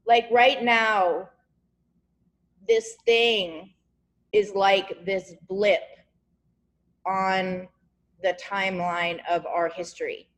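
A woman's voice: medium pitch, fairly even intonation, drawn-out words, long pauses. A woman hosting a podcast over a video call, talking casually in a rambling, encouraging way and often searching for words. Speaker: podcast host.

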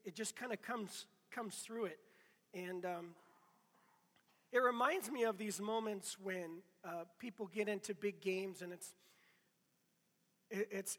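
A middle-aged man preaching a casual sermon with a hoarse voice, chatty and self-deprecating, often restarting his sentences. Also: sits high, a bit bright, big-room sound. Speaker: pastor.

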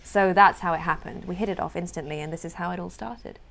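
A woman film director who grew up in Europe, calmly explaining how she made her film in an interview. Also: lowish voice, quick pace, creaky, poor audio. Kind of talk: interview answers.

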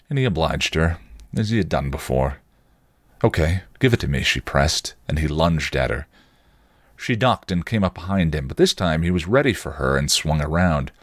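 A man reads a story aloud as a podcast host. His voice is low and moves through a lively range of pitch. The sound is dry and close. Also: no echo. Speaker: podcast host reading fan fiction aloud. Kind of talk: storybook narration.

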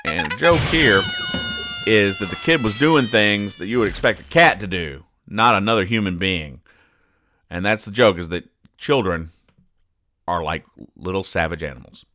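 A man hosting a podcast, reading out and explaining comic strips in a deadpan, mock-serious manner. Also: low voice, moderate pace, no echo, faint music behind. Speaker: podcast host.

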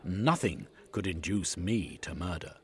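A man voicing a frightened, grey-haired old English gentleman who pleads and stammers. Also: low voice, measured pace. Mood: fearful.

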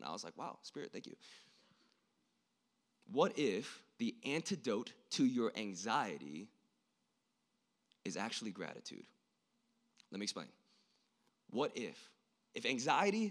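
A young man preaching a sermon in an informal, slangy way, swinging between hushed and impassioned delivery. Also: mid-range voice. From born in South Korea. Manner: informal, youthful slang, frequent "like" and rhetorical questions.

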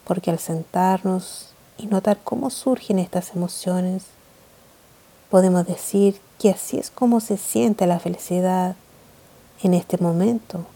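A woman leading a guided meditation in a calm, gentle, measured way of speaking. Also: lowish voice, breathy edge.

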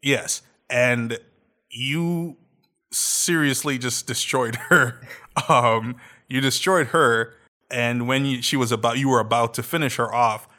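A man's voice: medium pitch, takes it slowly, bright, forceful tone. A man in his thirties hosting a podcast, chatting casually and animatedly with frequent laughs and fillers. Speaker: podcast host. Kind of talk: casual chat.

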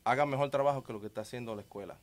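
A man's voice: medium pitch, very fast. Emphatic Dominican man talking faith. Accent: Dominican Spanish. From Dominican Republic. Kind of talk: conversational religious talk.

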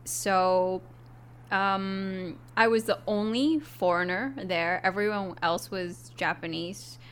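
A woman's voice: mid-range voice, fairly even intonation, slow pace, a bit bright, clear, resonant tone. A young woman talking casually about her work, hesitant with small restarts. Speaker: co-host, English teacher. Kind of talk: casual chat.

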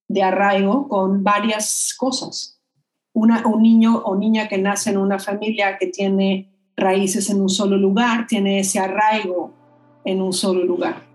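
A woman, explaining her ideas as a guest in a measured, thoughtful way. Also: mid-range voice, darkish tone. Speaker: guest expert. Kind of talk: explanatory conversation. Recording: roomy echo.

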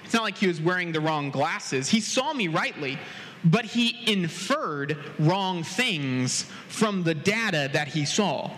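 A man from America preaching a sermon as pastor, telling stories with quoted dialogue and a pace that speeds up and slows down. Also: a little high, animated, a bit bright, a little hiss or hum. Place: church sanctuary. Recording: long hall reverb.

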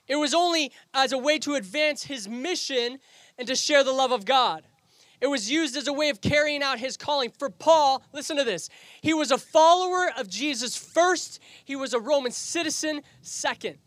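High-voiced pastor preaching, Canadian accent. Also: male voice, fairly bright tone, no room sound. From England. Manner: earnest preaching, repeats and restarts phrases. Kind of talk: sermon. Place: outdoor service.

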